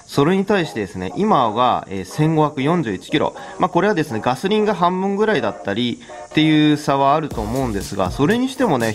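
A man in his thirties, a car channel host, explaining things casually and hands-on to camera in a garage. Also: a little high. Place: garage workshop, indoors. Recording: music behind the voice. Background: background music.

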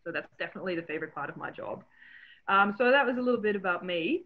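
A woman's voice: lowish voice, lively intonation, fast. A woman from the Netherlands with a Dutch accent, a marine biologist presenting slides on a video call in a friendly, explanatory way, with many fillers. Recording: tinny phone-like call audio.

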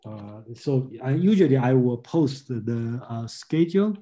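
A man's voice: medium pitch, lively intonation, measured pace, mellow. A man in his sixties from Taiwan hosting an online discussion group on a video call from home, talking with many fillers and restarts. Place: home room. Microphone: laptop webcam on a video call.

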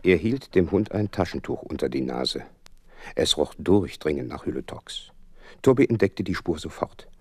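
An actor playing a loyal, earnest detective's companion who narrates a radio play, with a husky voice and expressive delivery. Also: male voice, a bass voice, normal speed, no room sound, clean studio sound, exaggerated voice. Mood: vivid narrating.

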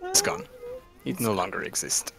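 A woman's voice: very deep voice, wildly swooping pitch, moderate pace, gravelly, over music. A young woman joking and teasing in casual gaming chat with friends, with a mock-dramatic streak. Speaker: gamer playing an online game with friends. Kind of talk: playful group gaming chat.

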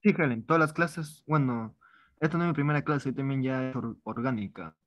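A man's voice: mid-range voice, fairly quick, no echo, muffled recording. A man from Peru teaching an online chemistry review class, informal and repeating words as he writes out problems. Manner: informal, repeats words while writing on a board. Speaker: chemistry tutor.

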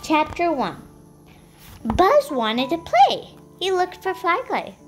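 A child reading a picture book aloud in a deliberate, simple storytelling manner for young listeners. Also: very high voice, wildly swooping pitch, faint music behind. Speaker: read-aloud host.

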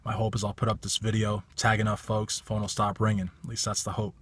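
A man in his thirties with a raspy voice, giving a blunt, emphatic bodybuilding opinion vlog from a parked car on a phone held at arm's length. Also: lowish voice, monotone, brisk, a bit bright. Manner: blunt, emphatic, repeats stock phrases. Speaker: bodybuilding commentator.

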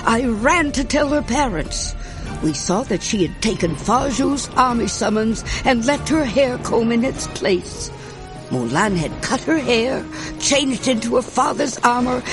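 A woman playing a fond grandmother, narrating a storybook in a measured, expressive way. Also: fairly high pitch, music in the background, low-quality recording. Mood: fond, dramatic.

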